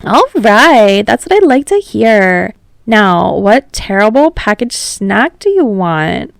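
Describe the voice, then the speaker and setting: A woman voicing a frank, caring, bossy teenage girl in an audio story, with a casual, emphatic delivery and drawn-out words. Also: mid-range voice, sing-song, gravelly, dry recording, studio quality, full character voice. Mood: upbeat.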